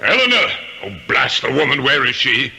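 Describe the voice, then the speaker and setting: An actor playing a pompous, indignant centuries-old aristocratic ghost, declaiming floridly in a 1970s radio drama. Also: male voice, mid-range voice, very animated, moderate pace, bright, forceful tone, soft background music, exaggerated voice, poor audio. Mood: indignant, exasperated. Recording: raspy-edged 1970s radio broadcast.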